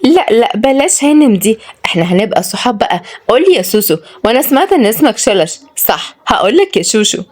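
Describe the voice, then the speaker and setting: Woman acting out coquettish fifties Egyptian lady, raised pitch, gushing, overfamiliar, comic storybook flirt. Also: big pitch swings, quick pace, a little mellow. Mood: flirty. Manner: coquettish, familiar.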